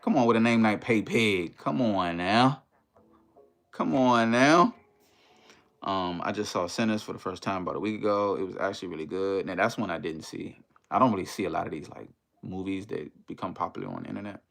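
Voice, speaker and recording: Low-voiced American man chatting on a livestream. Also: fairly quick, gravelly, a bit bright. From United States, Maryland and Washington DC area. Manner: conversational, uses slang and tag phrases like "you know". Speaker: livestream host chatting with viewers. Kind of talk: casual livestream chat.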